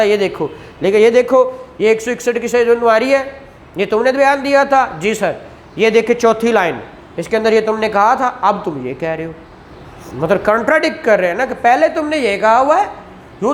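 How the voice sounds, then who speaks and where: Man lecturing on law, slow statute reading. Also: high-pitched, slight background noise. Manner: explains in Urdu, reads English statute text slowly aloud; acts out courtroom exchanges.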